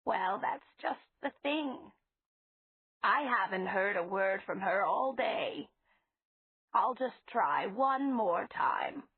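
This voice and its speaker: A woman reading aloud, voicing a gentle, fussy elderly woman who is a witch, speaking softly and fondly with a husky tone. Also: mid-range voice, lively intonation, heavily acted. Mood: musing. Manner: soft, fond, slow. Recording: tinny phone-like sound.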